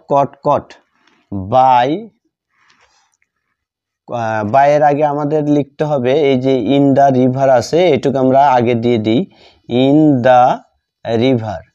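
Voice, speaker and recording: A Bengali-accented man gives a grammar lesson at a very unhurried pace. His voice is mid-pitched and soft-edged, dark in tone. He dictates piece by piece, repeating phrases as he writes them out. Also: dull audio. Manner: deliberate, repetitive, spelling out each phrase. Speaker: English grammar teacher.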